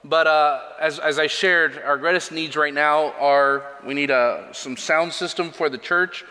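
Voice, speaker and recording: Man, missionary, earnest, plainspoken, bright voice, reverberant church hall. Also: fairly high pitch, fairly even intonation, unhurried. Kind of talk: missionary report in a church service. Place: church sanctuary. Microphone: microphone over a church sound system. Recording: long church hall reverb, faint music.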